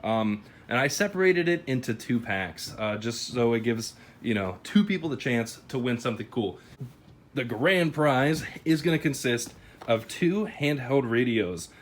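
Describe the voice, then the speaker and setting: A man's voice: mid-range voice, expressive, measured pace. An American man talking casually to camera as a YouTube host, in an informal, conversational way. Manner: informal, conversational, chatty phrasing. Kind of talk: casual product review and giveaway talk to camera. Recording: clearly noisy ambient background.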